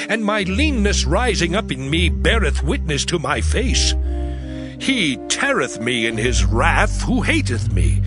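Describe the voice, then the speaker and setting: An actor playing an anguished, suffering man who laments bitterly in a slow, impassioned, theatrical dramatized Bible reading. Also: fairly high pitch. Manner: slow, drawn-out, impassioned declaiming. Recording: loud background music. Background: music.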